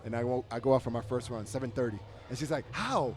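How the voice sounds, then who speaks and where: A man's voice: mid-range voice, fast talker. A man in his fifties hosting an interview outdoors, playful and joking, dropping in Spanish phrases. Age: 50s. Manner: playful, laughing, mixes in Spanish. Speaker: radio host. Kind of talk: casual interview chat. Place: outdoors at a dance event.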